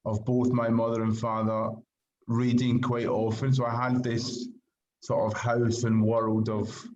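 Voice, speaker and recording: On a slightly muffled, lo-fi recording, a middle-aged Scottish man talks at a medium pitch. He answers casually, as a guest in an interview. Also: flat delivery, measured pace, darkish tone. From Glasgow, Scotland.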